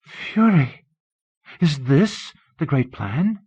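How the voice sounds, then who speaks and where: A man voicing an eager, murderous minion in an audiobook, fervent and servilely devoted to a dark master. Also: on the high side, very animated, very slow, slightly rough, no echo, voice pushed up, full character voice. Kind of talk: audiobook character voice. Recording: muffled old cassette audiobook.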